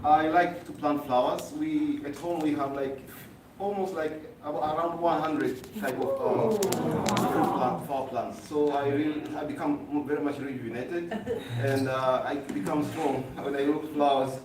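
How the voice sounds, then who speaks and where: A man speaking gently and hesitantly at a group report-out. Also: fairly high pitch, fairly even intonation, moderate pace. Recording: echoey room, distant mic, background noise.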